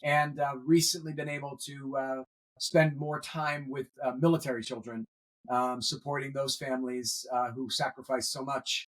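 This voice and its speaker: A middle-aged man, a grandfather, speaking earnestly as an interview guest, pausing often with fillers. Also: mid-range voice, flat delivery, low-quality recording. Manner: earnest, hesitant with many fillers. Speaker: guest, veteran educator. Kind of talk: conversational interview.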